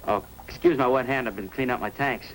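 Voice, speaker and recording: A man's voice: medium pitch, fast, close to an everyday voice, low-quality recording. A man playing a friendly, talkative fish hobbyist and eager witness in a 1950s radio drama. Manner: talkative, folksy, hesitant asides. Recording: old radio recording with background noise.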